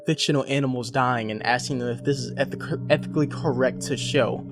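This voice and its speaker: A man's voice: medium pitch, steady tone, a little fast. Casual male commentator over music. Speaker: commentator explaining a horror series. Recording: music behind the voice.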